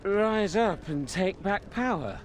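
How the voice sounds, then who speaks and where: A man's voice: high voice, expressive, slow, slight background noise. A man playing a drunken, rebellious tavern rabble-rouser in a video game, rambling and slurring as he boasts. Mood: drunken defiance.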